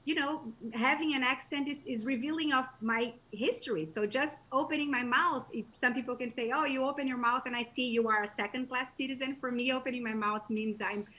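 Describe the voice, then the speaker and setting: A woman's voice: high-pitched, fairly even intonation, brisk, few pauses, bright, forceful tone, rough recording. A woman from Brazil with a Brazilian Portuguese accent, a professor and journalist answering interview questions in a thoughtful way, with frequent restarts.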